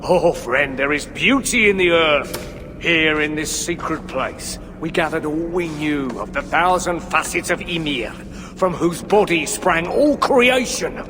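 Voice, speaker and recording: A hearty dwarf blacksmith with a scholar's dreamy streak in a fantasy game. His fairly high voice savours each word theatrically, ringing with reverb over some background noise. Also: expressive, a little slow. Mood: rapturous. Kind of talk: video game cutscene acting. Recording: long cavern reverb, noisy ambience.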